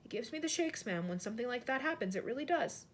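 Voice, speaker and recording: A woman's voice: fairly high pitch, expressive, fast, a little hiss or hum, lightly acted. A woman voicing a timid, quivering old maid. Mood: trembling. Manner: hesitant, quivering, polite. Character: older, timid, deferential royal maid.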